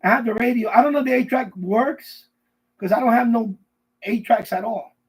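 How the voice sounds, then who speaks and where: High-pitched husky middle-aged man rambling casually. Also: moderate pace, rough recording. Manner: rambling, repeats himself often. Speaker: live stream host. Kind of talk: casual live stream chat. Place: basement.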